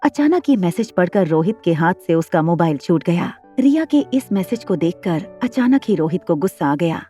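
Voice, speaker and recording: A woman's voice: on the low side, big pitch swings, normal speed. A woman reading scripted, dramatic story narration as the narrator of an audio drama. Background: soft background music.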